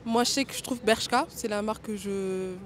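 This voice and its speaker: A woman's voice: medium pitch, normal speed. A woman in her early twenties giving a casual answer in a street interview. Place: outdoors on a city street. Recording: faint urban street noise.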